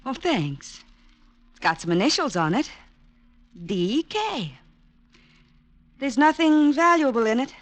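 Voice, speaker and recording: A woman's voice: high-pitched, big pitch swings, slow pace, long pauses, heavily acted. An actress playing a glamorous, teasing young woman in a 1950s radio drama. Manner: teasing, affectionate.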